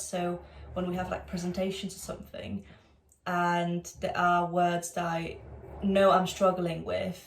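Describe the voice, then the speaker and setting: A woman's voice: on the low side, a little hiss or hum. A young woman whose first language is Polish, a student co-host talking in an earnest, thoughtful way. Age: young adult. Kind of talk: casual chat. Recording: echoey room.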